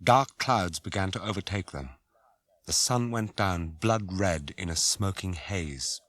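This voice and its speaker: A man narrating a radio drama in a measured, literary storytelling manner. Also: low voice, fairly bright tone, no room sound.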